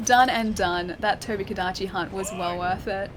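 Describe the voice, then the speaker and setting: A woman's voice: mid-range voice, fairly even intonation, moderate pace, a little hiss or hum. A woman from Western Australia with an Australian accent, chatting casually with viewers while she plays a game, often laughing and answering chat. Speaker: streamer.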